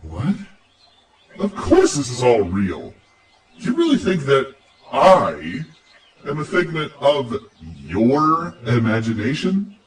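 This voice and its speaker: A man's voice: medium pitch, huge pitch swings, slow, far from the mic, low-quality recording. A man voicing a cocky, teasing androgynous dream spirit guide with a sardonic, playful, theatrical manner. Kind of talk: character voice acting.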